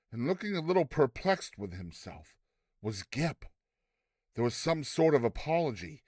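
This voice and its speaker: Male narrator, storybook reading, theatrical and expressive, lively swings in pitch, dry close sound. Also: no echo. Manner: theatrical, expressive, deliberate delivery. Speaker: narrator, reader.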